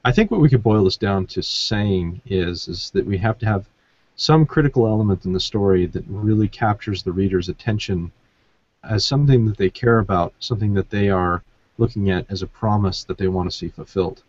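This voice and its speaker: Explanatory male host, lowish dry voice. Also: a little fast, a little mellow, dry recording, lo-fi sound. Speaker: podcast host. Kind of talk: moderated discussion, advice to writers.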